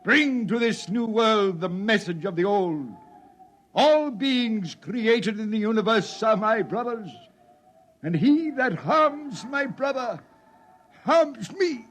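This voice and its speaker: Old prophet, very slow, halting, strained and coughing, wide swings, solemn, radio play. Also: male voice, sits high, lively intonation, fairly bright tone, exaggerated voice. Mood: solemn, prophetic. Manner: preaching, biblical, halting delivery. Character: dying old prophet, solemn wild-haired leader of Martians. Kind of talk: 1950s radio drama acting.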